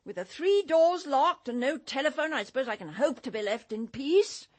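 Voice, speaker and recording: An actress playing a haughty, cutting, middle-aged English grande dame of the theatre in a radio drama, speaking with scornful emphasis. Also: female voice, high voice, animated, normal speed, a little mellow. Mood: curt, commanding. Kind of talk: BBC radio drama acting.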